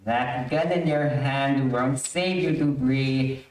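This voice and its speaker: An actor playing a vengeful, cold older convict, accusing and theatrical, in old-time radio melodrama. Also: male voice, mid-range voice, slow, natural voice. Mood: cold menace. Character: vengeful gray-bearded escaped convict, cold and menacing. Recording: very echoey room, distant mic.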